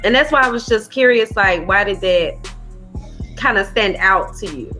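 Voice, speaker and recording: American woman, bright sharp voice, middle pitch, casual podcast chat, tentative, thinking aloud. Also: animated, moderate pace, faint music behind. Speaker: podcast co-host.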